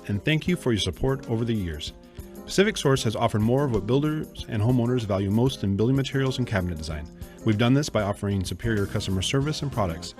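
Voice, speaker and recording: A man reading a scripted radio ad with smooth promotional delivery. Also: lowish voice, darkish tone. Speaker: ad announcer. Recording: radio ad with music bed. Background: music.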